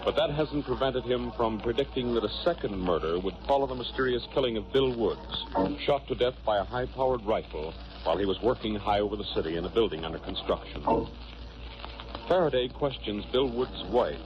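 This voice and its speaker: A man's voice: on the low side, lively intonation, fairly quick, music in the background. A man with an American accent giving scripted narration as the announcer of a 1940s radio drama. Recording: muffled old radio recording.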